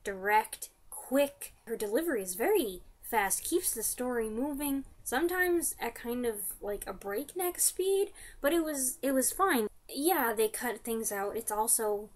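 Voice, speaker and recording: A woman's voice: fairly high pitch, expressive, a little slow, hardly any pauses. A woman in her twenties chatting casually to camera, giving an enthusiastic fan review in informal slang. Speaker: vlogger reviewing radio drama. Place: small furnished room indoors.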